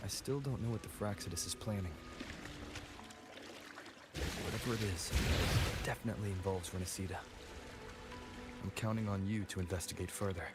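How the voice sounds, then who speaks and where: An actor playing a serious, guarded young hero in a video game, speaking cautiously and earnestly. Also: male voice, low-pitched, moderate pace, pauses often, natural voice. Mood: grave, cautious. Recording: loud music behind. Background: loud background music.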